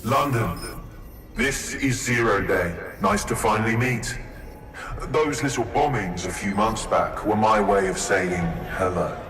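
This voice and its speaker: An actor playing a calm, theatrical terrorist mastermind in a video game, delivering an ominous, menacing address. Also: male voice, on the low side, unhurried, lightly acted. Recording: echoing broadcast under loud music.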